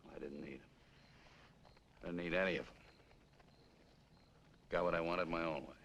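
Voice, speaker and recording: An actor playing a bitter, menacing armed killer in 1950s film noir style, with an American accent and tough, slangy talk. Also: male voice, low-pitched, fairly even intonation, normal speed, vocal fry, a bit bright, muffled recording. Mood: bitter, boastful. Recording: noisy old film soundtrack.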